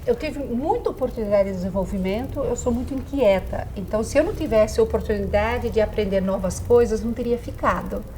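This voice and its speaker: A woman's voice: fairly high pitch, a little fast, hardly any pauses, dark tone, slight background noise, rough recording. A middle-aged woman, a native Dutch speaker with a slight Dutch-influenced Brazilian accent, giving reflective answers as an interview guest. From Brazil, rural Dutch-speaking community.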